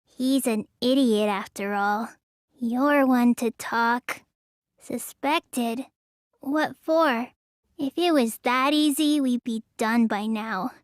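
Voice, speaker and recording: A woman's voice: a little high, slow, dry recording, clean studio sound. A woman voicing a sulky, lazy teenage girl in a video game, with a pouty drawl that stammers when flustered. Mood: sulky, weary. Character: sulky, lazy teenage girl who claims real magic. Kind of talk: English video game voice acting.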